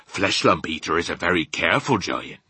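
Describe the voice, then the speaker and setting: Man voicing a big, stubborn, gentle giant, wildly swooping. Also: low voice, very animated, normal speed, slightly rough, no echo, heavily acted. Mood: matter-of-fact. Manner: muddled grammar and invented words. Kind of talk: children's storybook character reading.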